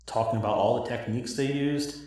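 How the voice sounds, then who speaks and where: A man's voice: medium pitch, brisk, a little mellow, far from the mic. A man delivering a dramatic scripted monologue in a hobbyist game parody. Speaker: cosplay builder acting in own parody cutscenes. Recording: echoey, roomy recording.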